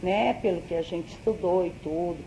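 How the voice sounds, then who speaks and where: A woman's voice: on the low side, normal speed, warm tone, a little hiss or hum, rough recording. A middle-aged woman recalling her family history in an interview, explaining things carefully and hedging as she reasons aloud. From Brazil. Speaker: interviewee, daughter.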